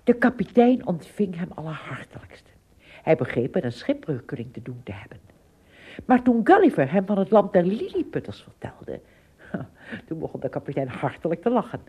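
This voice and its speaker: A woman narrating a scripted story in an expressive, measured storytelling manner. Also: lowish voice, husky.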